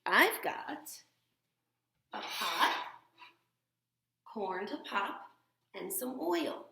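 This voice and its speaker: A woman teacher reads a picture book aloud to young children and explains things simply as she goes. Her pitch moves in a lively, animated way at a middle height, with plenty of vocal fry. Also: drawn-out words. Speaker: teacher reading a story to children.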